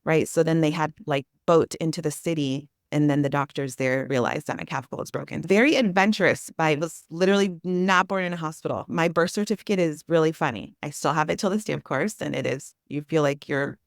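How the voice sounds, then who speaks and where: A woman with a low, dark-toned voice, raised in the Amazon with Portuguese as her first language. She tells her stories in a loose, excitable flow, full of "like" and restarts. The close mic sounds dry, with no room echo. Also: brisk, hardly any pauses. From Brazil, Amazon region. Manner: rambling, animated, many restarts and "like". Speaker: podcast guest. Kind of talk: casual interview storytelling.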